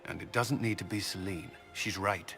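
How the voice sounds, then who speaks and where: Firm, forceful male commander. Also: low voice, normal speed, gravelly, faint music behind, exaggerated voice. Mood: firm, wary. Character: stern, practical male military commander. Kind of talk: video game dialogue acting.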